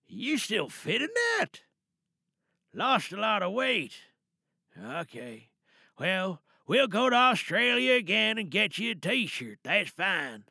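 A man playing a cold, protective parent who calmly handles a killing in a terse, measured audio drama monologue over the phone. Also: high-pitched, vocal fry, no echo, studio quality, heavily acted. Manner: measured, terse, darkly matter-of-fact. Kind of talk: one-sided phone call dramatic monologue.

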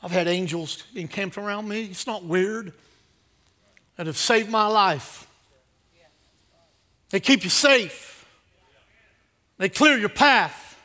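High-pitched, bright-voiced male preacher. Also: slow pace, long pauses. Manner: exhortative, emphatic, with repeated phrases; swings between hushed asides and loud declarations. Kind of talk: sermon to a congregation.

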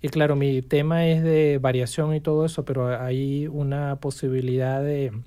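A university language professor, interviewed as a guest, explaining at length in measured sentences with frequent restarts. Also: mid-range voice, flat delivery, mellow, smooth. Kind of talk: casual interview chat.

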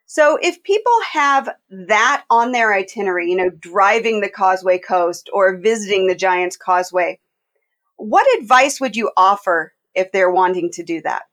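A woman's voice: mid-range voice, lively intonation, moderate pace, fairly bright tone. A woman hosting a podcast interview, enthusiastic and asking open questions. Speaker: podcast host. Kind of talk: casual interview.

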